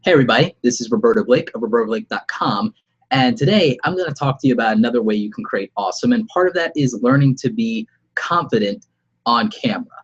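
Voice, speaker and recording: Brief: congested man with a cold, husky and nasal, chatty and encouraging, slightly muffled webcam live-stream sound. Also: medium pitch, animated, fast. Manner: conversational, upbeat advice-giving. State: slightly sore throat. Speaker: vlogger. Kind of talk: unscripted live-stream vlog. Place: home studio room, alone. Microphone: webcam about arm's length away.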